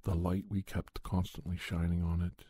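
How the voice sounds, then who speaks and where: A man playing a grave, gentle doctor who recounts paranormal creature hunts in a soft, husky ASMR storytelling style. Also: sits low, level tone, moderate pace, no echo, studio quality. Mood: somber. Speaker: storyteller, podcast narrator.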